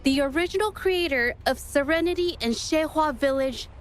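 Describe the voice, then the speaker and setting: A woman voicing an earnest young female artist in a video game, speaking in a composed, deliberate, articulate way. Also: sits high, close to an everyday voice. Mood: wistful, confessional. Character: earnest young female artist revealing her painful past. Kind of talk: video game voice acting, scripted dialogue. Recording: studio voice with game music underneath.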